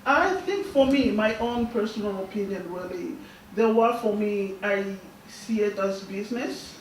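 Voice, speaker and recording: Young woman from Burundi, Swahili first language, soft-spoken, halting, distant mic, very echoey school hall. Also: medium pitch, slight background noise. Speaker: guest speaker. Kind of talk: answering audience questions.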